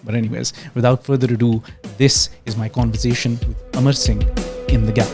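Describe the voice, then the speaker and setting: A man's voice: mid-range voice, level tone, fairly quick, over music. A young man from Pakistan hosting a podcast, reading a scripted intro and then asking questions in a hesitant, conversational way with frequent restarts. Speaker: podcast host. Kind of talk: podcast interview.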